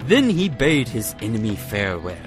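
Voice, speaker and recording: Male narrator, lowish pitch, theatrical scripted storytelling, archaic diction, music behind. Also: huge pitch swings, normal speed.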